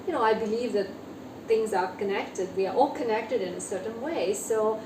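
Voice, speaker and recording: A fairly high-voiced woman from Ukraine, her first language Ukrainian, talking as a guest in an interview. She pauses often and fills gaps with small hesitations. The recording sounds echoey and distant, with steady noise behind her. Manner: hesitant, many fillers. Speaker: guest author. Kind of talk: interview conversation. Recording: echoey room, distant mic, noisy.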